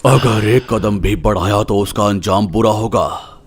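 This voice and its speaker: A man voicing a hulking, gruff male god in a dubbed game cutscene, heavy and threatening. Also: on the low side, unhurried, slight background noise, close to an everyday voice. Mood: menacing.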